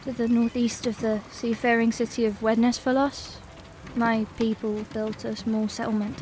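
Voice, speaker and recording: A woman's voice: fairly high pitch, flat delivery, normal speed, darkish tone, a little hiss or hum, lightly acted. A woman playing a young captive halfling farm girl, plain-spoken and a little hesitant, in a fantasy audio drama. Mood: subdued, earnest.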